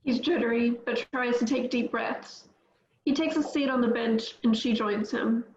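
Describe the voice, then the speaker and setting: A woman reading stage directions aloud at a table read, plain and even. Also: a little high, normal speed, roomy sound, lo-fi sound.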